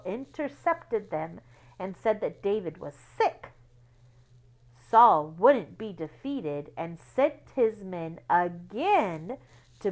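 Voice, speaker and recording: A woman reading a children's book aloud like a teacher, with expressive emphasis and asides that explain things to young listeners. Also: medium pitch. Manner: instructive, explains words and checks understanding with children.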